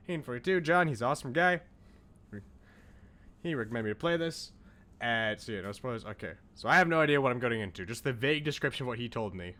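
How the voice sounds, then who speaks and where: A man's voice: mid-range voice, bright, forceful tone. A man streaming a video game, chatting casually with his viewers in rambling asides and reading on-screen text aloud.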